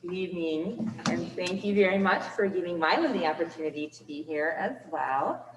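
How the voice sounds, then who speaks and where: A woman with a loud teacher voice and a somewhat low pitch presents to a school board in an upbeat, explaining manner. She is picked up from a distance in a large meeting room, and her voice rings with a long hall echo. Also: moderate pace, slight background noise. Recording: echoey hall from far off.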